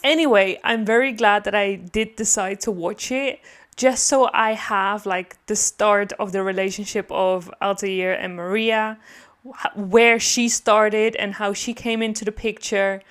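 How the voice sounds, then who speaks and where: A woman's voice: mid-range voice, fairly even intonation, measured pace, fairly bright tone. A woman giving casual reaction commentary on a game, musing aloud hesitantly and often repeating herself. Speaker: gaming reaction video creator.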